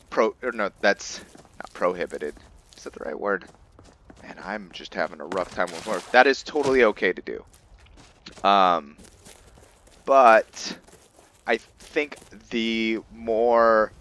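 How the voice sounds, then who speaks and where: A man giving casual let's play commentary as host of a roleplay series, thinking aloud in a halting way. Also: on the low side, a bit bright.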